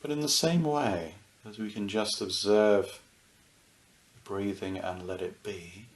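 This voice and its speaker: A man with a lowish, creaky voice gently guides a meditation. He talks in short, soothing phrases and leaves unhurried pauses between them. The sound is roomy, with a clear echo around his words. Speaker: meditation teacher.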